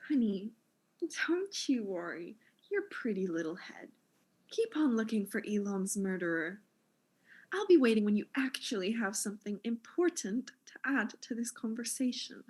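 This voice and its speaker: An actress playing a vain, self-important fashion mogul in a comic audio drama, arch and condescending in manner. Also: female voice, mid-range voice, lively intonation, normal speed, darkish tone, slightly rough. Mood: smug, dismissive.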